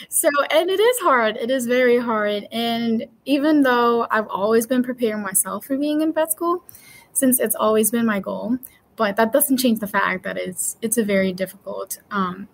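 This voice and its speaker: A woman's voice: a little high, measured pace. A woman in her twenties from Puerto Rico, a veterinary student answering interview questions in a cheerful, earnest way.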